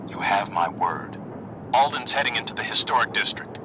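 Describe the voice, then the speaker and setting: A man playing a stern, businesslike handler in a video game, briskly giving clipped orders. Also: lowish voice, lively intonation, noisy background, lightly acted. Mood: commanding.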